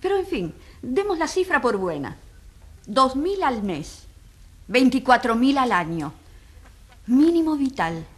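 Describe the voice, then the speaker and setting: A woman playing a determined, incisive prosecutor in a courtroom radio drama, questioning pointedly and deliberately. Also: high voice, sing-song, husky, full character voice. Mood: incisive, insistent.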